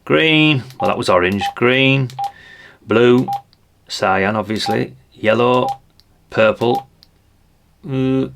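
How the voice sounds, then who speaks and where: A man's voice: medium pitch, slow, creaky, pauses often, a bit bright, slight background noise. An older man giving a casual hands-on product demonstration, chatty and unscripted, thinking aloud as he goes. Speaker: presenter of a radio gadget review channel.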